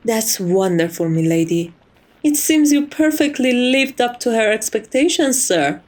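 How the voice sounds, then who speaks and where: Vampire's maid, played by a woman for ASMR roleplay: formal, reassuring, gently wry, with a very expressive, fairly high voice. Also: measured pace. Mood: calm reassurance. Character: composed, courteous vampire's maid, wryly teasing.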